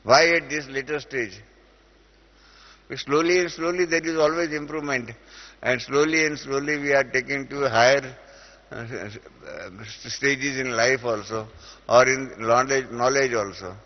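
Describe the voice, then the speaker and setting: A man's voice: mid-range voice, a little slow. Indian man teaching a class in a hall. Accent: Indian English. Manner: explains through everyday examples, with many "uh" fillers. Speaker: spiritual teacher. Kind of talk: spiritual class lecture. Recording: dull lo-fi audio with long reverb in a hall.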